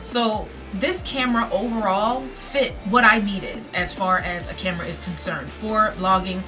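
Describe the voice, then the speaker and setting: A woman in her thirties chats to camera from a dim bedroom, projecting her voice easily. She is picked up by the camera's own mic from a little way off. Music plays clearly in the background. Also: medium pitch, a little slow, distant mic. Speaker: vlogger. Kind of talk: casual vlog to camera. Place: dim bedroom with string lights. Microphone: built-in camera mic.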